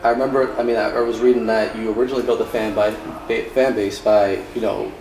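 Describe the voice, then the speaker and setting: A man's voice: on the low side, level tone, fast, a little mellow. A man interviewing a singer in a laid-back, casual way, often answering with "right" and "cool". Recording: echoey room, mic far off, clear background noise.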